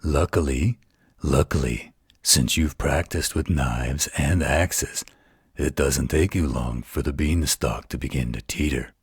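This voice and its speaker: A man playing a gentle, protective werewolf in a bedtime roleplay audio, speaking in a gravelly, husky, soothing voice. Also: very deep voice, no room sound, studio quality, exaggerated voice. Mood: hushed storytelling. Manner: soothing, unhurried, tender.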